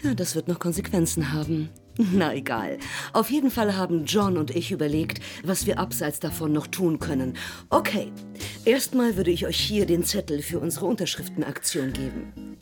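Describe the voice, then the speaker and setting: A woman plays a sociable, well-off hostess in a naturalistic radio drama. She sounds polite and self-assured, with a slightly low voice, and music is clearly heard behind her. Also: lively intonation, moderate pace, lightly acted. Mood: businesslike. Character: sociable, well-off neighbourhood hostess organising against a local shop.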